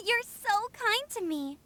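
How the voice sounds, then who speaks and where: Perky game girl scout, eager n chatty, really high voice, swings around lots. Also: bright, forceful tone, slight background noise, exaggerated voice. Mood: touched. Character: cheerful young female scout, eager and earnest. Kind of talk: video game character dialogue.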